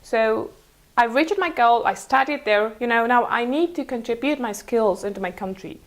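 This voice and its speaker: A young woman from Mongolia with a Mongolian accent, answering casually in halting, self-correcting non-native English. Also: a little high. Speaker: interview guest, international school teacher.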